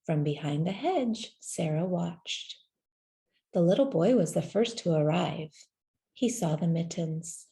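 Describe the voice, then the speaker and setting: A woman reading a children's story aloud in a gentle, measured way, with deliberate pauses between phrases. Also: fairly low pitch, expressive, gravelly. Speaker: storybook reader. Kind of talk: scripted children's story narration, read aloud.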